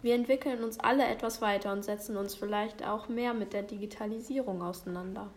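A woman in her fifties, a pastor, speaking calmly and in a measured way as a guest in a discussion. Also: medium pitch. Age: 50s.

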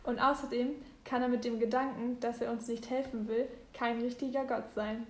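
A woman's voice: on the high side, little pitch movement, normal speed. Young woman, earnest, echoey room. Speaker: hospital volunteer.

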